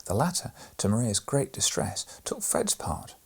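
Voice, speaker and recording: Expressive husky male storyteller. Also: lowish voice, moderate pace. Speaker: reader, channel host. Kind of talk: audiobook narration of a children's story.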